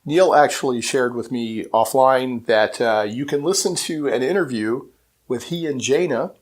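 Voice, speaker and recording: Casting: male podcaster, average pitch, plain informal announcements to listeners. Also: normal speed. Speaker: podcast host. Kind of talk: informal explanatory podcast insert.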